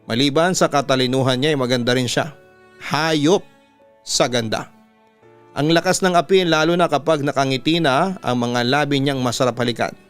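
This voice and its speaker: A man's voice: medium pitch, moderate pace, smooth. A Filipino man, a radio announcer, narrates a letter in the first person in a dramatic storytelling style. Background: music bed.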